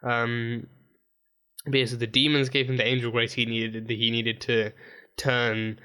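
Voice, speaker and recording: A man with a dry, croaky throat recapping a TV episode in a rambling, casual way, full of fillers and restarts. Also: mid-range voice, level tone, a little slow, bright, forceful tone. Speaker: TV episode reviewer. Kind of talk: casual reaction video recap.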